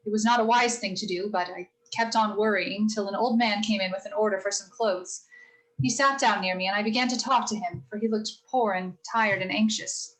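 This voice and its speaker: A woman playing a gentle, earnest mother of four girls, telling stories in a measured, sincere way for a radio play rehearsal. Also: medium pitch, fairly even intonation, natural voice, rough recording. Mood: earnest, moved. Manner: measured, sincere moral storytelling.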